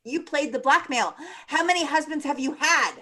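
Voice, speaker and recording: A woman playing a blustering, accusatory colonel in a comedy table read, forceful and interrogating. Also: high-pitched, moderate pace. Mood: accusing. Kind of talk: live table read of comedy film script.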